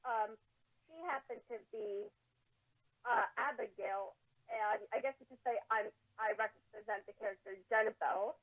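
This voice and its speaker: Halting woman podcaster, dull lo-fi sound. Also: a little high. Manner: halting, repeating and restarting words. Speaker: podcast co-host and amateur fiction writer. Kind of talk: casual unscripted conversation.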